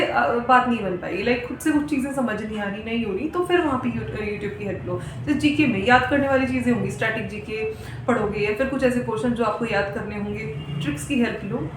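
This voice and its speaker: A young woman giving informal, insistent study advice to students as an exam mentor, mixing English words into her talk. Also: a little high. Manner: instructive, insistent, Hindi mixed with English words. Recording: echoey room with clear background noise.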